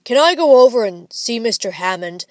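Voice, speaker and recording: A woman's voice: on the high side, lively intonation, moderate pace, a little mellow, dry recording, close to an everyday voice. A woman reading the part of a meek middle-aged father who asks permission in a pleading, respectful tone. She speaks in a storybook reading.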